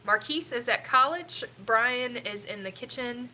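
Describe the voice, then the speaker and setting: Middle-aged lady with a stuffed-up nose just chatting away on a live stream, lots of ums, kinda bright tone. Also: on the high side, unhurried, a little hiss or hum, poor audio. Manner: rambling, chatty, with frequent ums. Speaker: family vlogger hosting a live stream. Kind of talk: casual live-stream chat.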